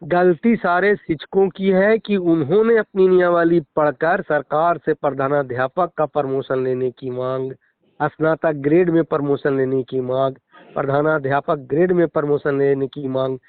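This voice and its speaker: A man's voice: on the high side, fairly even intonation, normal speed, smooth, dry recording. A middle-aged man with a Bihari accent, a schoolteacher arguing his position emphatically and formally in a phone interview. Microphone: phone call. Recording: narrow, tinny phone line.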